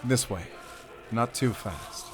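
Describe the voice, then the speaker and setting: A man acts out a grim werewolf hunter in a cinematic audio drama. He gives terse, commanding orders in a mid-range voice, with clear noise behind him. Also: mid-range voice, slow pace, close to an everyday voice. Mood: urgent, wary. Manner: terse, tense, giving orders.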